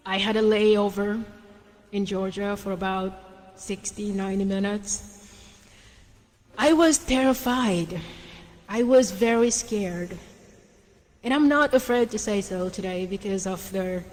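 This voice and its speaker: A woman's voice: mid-range voice, long reverb tail. A woman from Senegal with a Senegalese accent, hosting a motivational radio talk show in an earnest, hesitant way full of ums and restarts. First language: French and Wolof.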